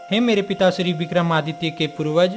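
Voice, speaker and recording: Man as dutiful cartoon prince, youthful figure, raised pitch, humble, earnest, formal, music behind. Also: brisk, lightly acted. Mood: earnest, pleading. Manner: respectful, pleading, formal. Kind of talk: Hindi cartoon moral-story acting.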